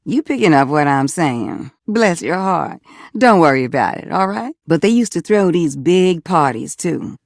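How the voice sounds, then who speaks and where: Gravelly, flirty Southern water heater. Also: female voice, deep voice, expressive, moderate pace, no room sound, clean studio sound. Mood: flirty, gossipy. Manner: teasing, folksy, full of endearments. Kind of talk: video game character dialogue.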